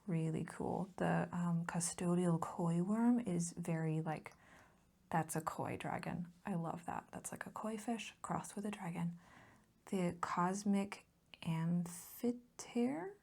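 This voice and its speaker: A woman speaking in a soft, whispery voice for a casual ASMR chat, rambling along with gentle enthusiasm close to the mic. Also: on the low side, takes it slowly, gravelly, husky. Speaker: ASMR video host.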